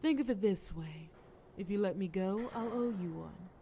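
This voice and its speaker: Woman acting as a female crime boss, mellow dark tone, cunning, flirtatious, coolly threatening, audio roleplay, noticeable background noise. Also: mid-range voice, moderate pace, natural voice. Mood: coaxing, menacing. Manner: sultry, teasing, persuasive. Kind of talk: scripted audio roleplay addressed to listener.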